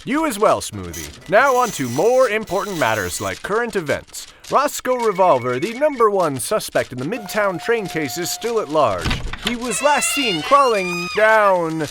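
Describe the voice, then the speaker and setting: Man acting a high-pitched, dutiful radio newsman. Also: very animated, unhurried, few pauses, noisy background. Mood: polite. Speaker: news announcer. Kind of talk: audio drama acting.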